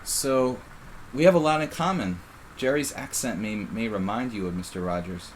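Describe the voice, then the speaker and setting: Low-voiced man with faint Philly accent. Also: normal speed, slight background noise. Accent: faint Philadelphia. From Philadelphia. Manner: casual, rambling, self-interrupting. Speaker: chess streamer. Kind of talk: live chess commentary.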